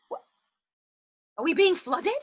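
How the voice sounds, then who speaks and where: A woman's voice: very high-pitched, expressive, slow, heavily acted. An actress playing an anxious but determined woman on the run in a stage drama, speaking in a theatrical, insistent way. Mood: alarmed. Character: anxious but determined woman fleeing a flooded underground shelter. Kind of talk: dramatic stage-play dialogue.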